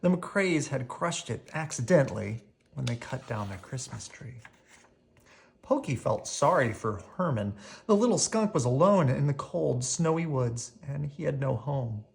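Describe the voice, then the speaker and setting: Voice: mid-range voice, expressive, a little slow, vocal fry, a little mellow. A storyteller reading a children's picture book aloud expressively, drawing out key words.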